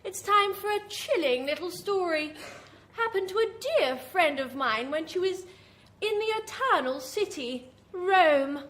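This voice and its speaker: An actress playing a vain, wicked horror hostess, theatrical, arch and confiding, introducing macabre radio tales. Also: female voice, very high-pitched, animated, measured pace, fairly bright tone, full character voice. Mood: ominous relish. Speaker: host of horror anthology. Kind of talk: live horror radio storytelling.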